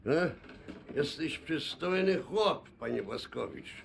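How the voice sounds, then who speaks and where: An actor playing a boastful Polish man who speaks with slow, menacing bravado in a game cutscene. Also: fairly high pitch, expressive, a little hiss or hum, exaggerated voice. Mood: gruff menacing. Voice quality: gravelly, raspy. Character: gruff boastful Polish man, threatening protector.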